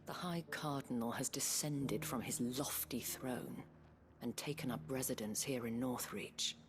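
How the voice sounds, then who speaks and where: A weary dame in a fantasy game, with a very deep, breathy, hushed voice. She speaks with measured eloquence and worry, over faint ambient noise. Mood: troubled. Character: worldly, anxious dame looking after her wards. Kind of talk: scripted video game drama.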